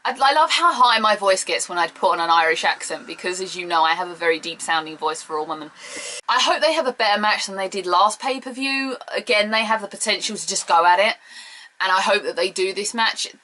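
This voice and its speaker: A young British woman chatting excitedly to camera, giving opinionated and playfully teasing wrestling predictions. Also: mid-range voice, fairly quick, poor audio. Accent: British English. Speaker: wrestling preview vlogger. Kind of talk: casual opinionated chat to camera.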